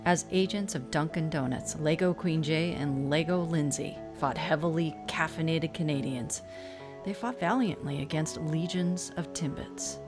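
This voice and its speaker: A woman's voice: low-pitched, moderate pace, music in the background. An American woman co-hosting a talk show, chatting in a casual, playful, jokey way.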